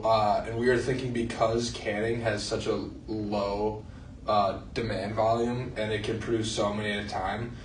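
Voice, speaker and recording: A young man, a student, narrating a class project with a flat, halting delivery and many fillers. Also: fairly low pitch. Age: young adult. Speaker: student presenter. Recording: roomy, distant mic, faint noise.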